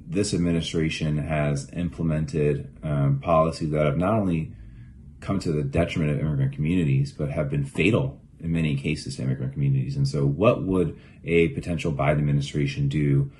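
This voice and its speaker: A man voter speaking in a deliberate, measured way. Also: a bass voice, steady tone, a little mellow. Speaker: interviewed voter.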